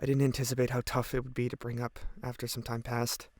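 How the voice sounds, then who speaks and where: A man's voice: mid-range voice, completely flat delivery, fast talker, a little mellow, no echo, studio quality, lightly acted. A man acting a guilt-ridden, earnest teenage boy giving hesitant, reflective testimony in an audio drama. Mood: regretful. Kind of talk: audio drama monologue, police interview testimony.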